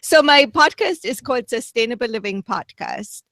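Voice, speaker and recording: A woman's voice: fairly high pitch, expressive, moderate pace, fairly bright tone, no room sound. A woman from Germany with a German accent, a podcast guest chatting casually and laughing easily on a video call. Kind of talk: casual roundtable chat.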